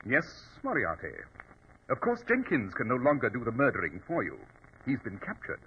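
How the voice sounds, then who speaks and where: An actor playing a shrewd, self-assured gentleman detective with a clipped, superior manner in 1940s radio style. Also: mid-range voice, wildly swooping pitch, normal speed, slight background noise. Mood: tense, dramatic. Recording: dull, rough vintage radio recording.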